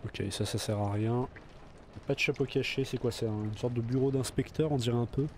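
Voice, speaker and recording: A man's voice: medium pitch, fairly even intonation, a little fast, a little mellow, slight background noise. A man with a slight cold, chatting casually to himself while playing a video game. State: a bit of a cold. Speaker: let's play gamer. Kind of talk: casual gameplay commentary.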